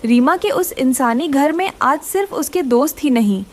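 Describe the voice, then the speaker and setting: A woman's voice: high voice, animated, normal speed, darkish tone, slight background noise. A woman reading scripted moral-story narration for a children's cartoon.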